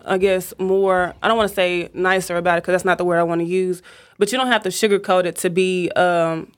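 A woman's voice: on the low side, steady tone. Reflective woman hosting a casual chat. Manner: reflective, measured, advice-giving.

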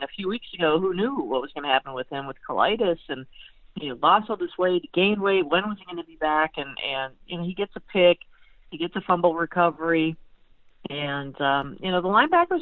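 A woman talking sports as a guest analyst over the phone, conversational and halting, often repeating her words. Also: fairly low pitch, no room sound. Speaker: newspaper beat writer, guest analyst. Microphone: phone call. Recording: tinny narrow-band phone line.

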